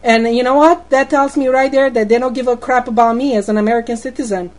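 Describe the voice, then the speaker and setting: A woman's voice: fairly high pitch, fairly even intonation, fast talker. A woman with a Romanian accent, complaining indignantly on a phone call, rambling and repeating words as she goes.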